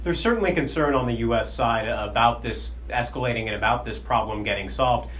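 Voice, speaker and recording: A man reporting as a defense correspondent, explaining policy in a measured, explanatory way. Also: mid-range voice, a bit bright, a little hiss or hum. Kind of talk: news analysis.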